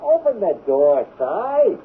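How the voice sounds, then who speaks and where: Loan shark's errand man, lively pitch. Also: takes it slowly, full character voice. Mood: impatient. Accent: American. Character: offhand, menacing male loan shark's messenger. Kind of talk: 1970s radio drama acting. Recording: tinny, noisy 1970s radio recording.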